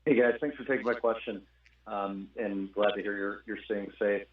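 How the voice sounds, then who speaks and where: Lowish-voiced man on a tinny phone line. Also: fairly even intonation, normal speed, echoey room, distant mic. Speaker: financial analyst caller. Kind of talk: asking a question on an earnings call.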